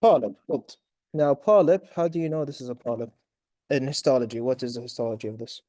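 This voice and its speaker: A man lecturing medical students in a raspy voice, quizzing them and praising answers, with Arabic fillers between points. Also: medium pitch, brisk, warm tone, no echo. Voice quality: raspy from long talking. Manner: sprinkles Arabic fillers like tamam, inshallah.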